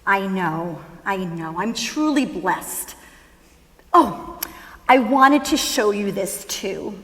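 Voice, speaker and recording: Unhurried, wry ageing mother acted by a woman. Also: medium pitch, animated, fairly bright tone. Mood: tender, reflective. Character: affectionate, wry ageing mother, fond of reminiscing. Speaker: stage actress. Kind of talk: musical theatre dialogue, staged reading. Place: school auditorium stage. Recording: echoey hall with long reverb.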